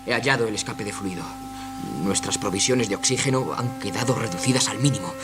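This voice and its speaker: A man's voice: lowish voice, fairly quick, over loud music, close to an everyday voice. An actor playing an alert, businesslike male pilot giving clipped reports in a radio drama. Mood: alarmed.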